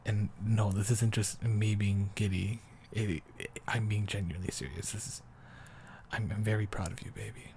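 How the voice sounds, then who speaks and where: A man playing an affectionate young boyfriend in an improvised bedtime roleplay, talking softly and haltingly, often sinking toward a whisper. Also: fairly low pitch, steady tone, a little hiss or hum, close to an everyday voice. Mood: earnest, proud. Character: affectionate, doting young boyfriend, soft and rambling. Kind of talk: improvised intimate roleplay audio, sleep aid.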